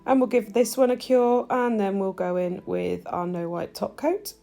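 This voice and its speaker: A woman with a stuffy, nasal voice, chatting warmly through a nail tutorial as she works. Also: mid-range voice, normal speed, gravelly, faint music behind. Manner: chatty, gushing, talks while working. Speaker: nail technician demonstrating gel polish. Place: home nail studio.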